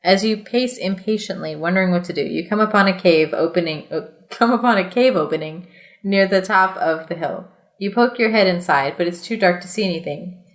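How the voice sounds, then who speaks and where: Woman reading a story aloud, playfully expressive. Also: lowish voice, a little fast, reverberant. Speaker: podcast host reading a choose-your-path story aloud. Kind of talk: storybook read-aloud mixed with casual chat.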